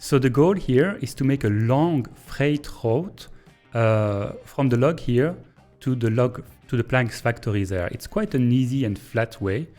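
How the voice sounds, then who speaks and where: A man with a French accent, a native French speaker, thinking aloud in a hesitant, pause-filled way as he streams and comments on a train-building game. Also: medium pitch, lively intonation, a little mellow, soft background music. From Belgium. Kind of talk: casual live-stream gameplay commentary.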